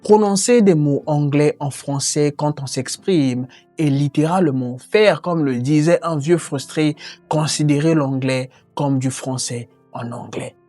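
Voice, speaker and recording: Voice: mid-range voice, unhurried, mellow, soft background music. A voice narrating a French-language lesson on learning English, earnest and explanatory. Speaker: language teacher.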